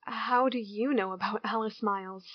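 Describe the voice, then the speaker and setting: A woman's voice: medium pitch, fairly even intonation, dry recording, natural voice. Hesitant, withdrawn girl, acted by a woman, dull recording. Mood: wary, confused. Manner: hesitant, halting. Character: withdrawn small-town girl, hurt, hesitant and apologetic. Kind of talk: amateur audio drama acting. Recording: dull, dry lo-fi home recording.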